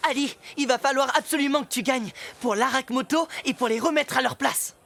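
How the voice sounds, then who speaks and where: High-voiced cocky cartoon boy. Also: fairly quick, gravelly, full character voice. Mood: cocky, encouraging. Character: cocky, hot-headed young boy hero. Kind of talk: French children's cartoon dub acting. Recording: loud music under the voice.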